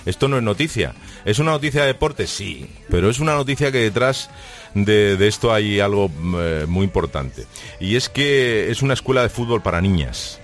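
A man's voice: on the low side, expressive, soft background music. A man hosting a radio show in the studio, chatty and joking, interviewing a guest. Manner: jokey, chatty, fast asides. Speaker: radio host. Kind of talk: lively radio talk and interview.

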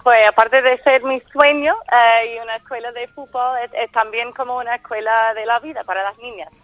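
A woman's voice: on the high side, fairly even intonation, a little fast, fairly bright tone, no room sound, poor audio. Enthusiastic American woman on radio. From Washington, D.C., United States. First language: English. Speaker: guest, football school founder. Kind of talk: radio interview answers.

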